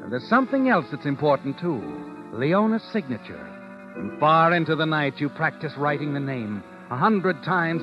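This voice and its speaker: Taunting suspense narrator, highish male voice, old muffled 40s radio sound w music under it. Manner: taunting, second-person storytelling. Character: knowing, insinuating male narrator addressing the heroine.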